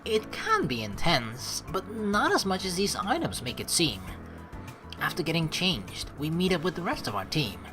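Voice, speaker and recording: Low-pitched, lively, bright woman gamer. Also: normal speed. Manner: chatty, informal, rambling asides. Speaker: let's play host. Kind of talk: game commentary mixed with reading story text aloud. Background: game music.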